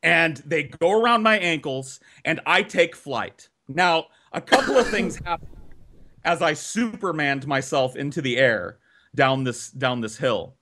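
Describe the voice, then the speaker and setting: Animated man telling a comic story. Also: high voice, a little hiss or hum. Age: 30s. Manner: animated, dramatic, drawn-out telling with swearing. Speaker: podcast storyteller. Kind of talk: comedic personal storytelling.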